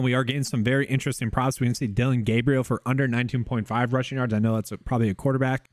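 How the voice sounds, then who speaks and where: A man hosting a casual sports betting breakdown, talking to viewers as he scrolls through stats, with his voice going hoarse. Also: medium pitch, no echo, clean studio sound. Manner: fast, conversational, addresses viewers as guys. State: losing his voice. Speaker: sports betting analyst host. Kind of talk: casual screen-share analysis.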